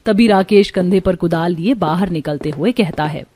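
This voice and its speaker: A woman's voice: fairly low pitch, moderate pace, mellow. A woman reading scripted narration for a cartoon story.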